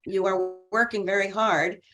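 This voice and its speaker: A woman hosting a webinar interview, asking questions in a warm, encouraging way. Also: mid-range voice, fairly even intonation, moderate pace. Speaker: host.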